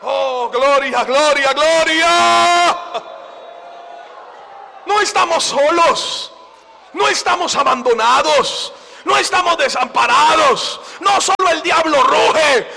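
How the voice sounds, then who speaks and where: Man preaching, shouted fervent sermon, very high voice, gravelly edge, congregation audible. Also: slow, some chatter behind, rough recording. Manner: fervent, repetitive exhortations, shouting. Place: church service.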